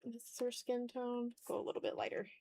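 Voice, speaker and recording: A woman's voice: fairly high pitch, flat delivery, brisk, creaky, darkish tone, a little hiss or hum. A woman chatting casually on an art livestream while drawing, talking to her viewers in an informal, joking, mildly sweary way. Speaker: livestream host, illustrator.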